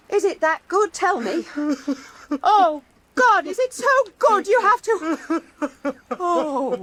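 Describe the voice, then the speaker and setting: A woman's voice: sits high, a bit bright, full character voice. An actress playing a proud, mercurial woman painter who talks in a grand, cutting and theatrical way. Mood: exhilarated. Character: imperious, mercurial woman painter who scorns her critics. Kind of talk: radio drama acting.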